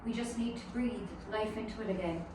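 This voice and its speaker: A woman playing a steady, hopeful rescuer in a screenplay table read, calm and earnest. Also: a little high, fairly quick, lightly acted. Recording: distant, echoey room with clear noise.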